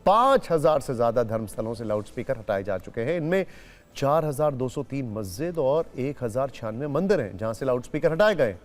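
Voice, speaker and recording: A man's voice: mid-range voice, very animated, fairly quick. A man presenting television news as an anchor, explaining points with emphasis.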